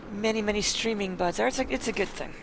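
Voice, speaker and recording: A hoarse, croaky young-adult live streamer from Chicago, chatting casually late at night with many fillers. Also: fast talker, noisy background. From Chicago, United States. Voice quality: croaky, gravelly. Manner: rambling with many fillers, then low and sleepy. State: hoarse, losing voice.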